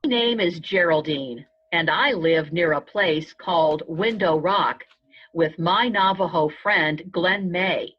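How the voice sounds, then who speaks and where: Woman, storybook narrator, low-pitched, slow, measured, first-person read-aloud. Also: breathy edge. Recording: dull, lo-fi sound.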